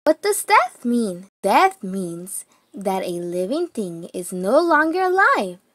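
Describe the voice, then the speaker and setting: A woman reading a children's picture book aloud, deliberately, phrase by phrase with pauses. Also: medium pitch, big pitch swings, a bit bright, no room sound.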